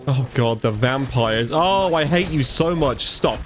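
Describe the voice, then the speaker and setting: A man giving casual live commentary over gameplay, a bit congested from a cold, chatty and reacting out loud as he plays. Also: medium pitch, lively intonation, unhurried. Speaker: gaming commentator.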